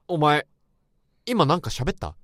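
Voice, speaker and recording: A brooding office worker narrating. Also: male voice, fairly high pitch, big pitch swings, a bit bright, dry recording, clean studio sound. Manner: brooding, halting inner monologue. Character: troubled male office worker. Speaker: narrator and protagonist. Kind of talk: first-person radio drama narration. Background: music.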